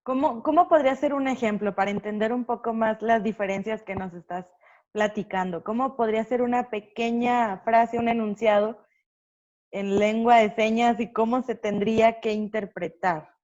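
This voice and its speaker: A woman hosts a live-stream interview in a friendly, upbeat way. Her voice sits a little high for a woman. It comes through a muffled, lo-fi video call line. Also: fairly even intonation, moderate pace, darkish tone. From Mexico. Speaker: host.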